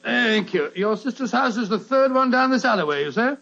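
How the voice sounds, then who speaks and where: A man's voice: very high-pitched, expressive, brisk, vocal fry, pushed and bright, exaggerated voice. A man playing a genial, fussy English doctor in Santa costume in an old-time radio detective drama, hearty and blustering. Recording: dull old radio recording.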